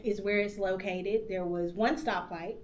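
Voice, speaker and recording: A woman, a podcast guest chatting about her childhood in a warm storytelling way and laughing easily. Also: mid-range voice, steady tone, a little slow. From Alabama. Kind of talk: interview storytelling.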